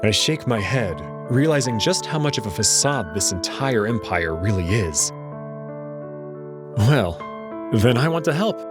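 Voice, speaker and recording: A man's voice: medium pitch, very animated, a little slow, over music. A man playing an uneasy young student who narrates a visual novel in the first person, introspective and hesitant, acting out his own dialogue. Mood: uneasy, insistent.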